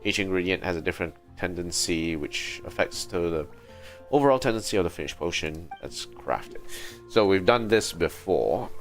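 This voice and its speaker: Very deep-voiced man streaming a game. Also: expressive, normal speed, faint music behind. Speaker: game livestreamer. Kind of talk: casual live gameplay commentary, reading on-screen text.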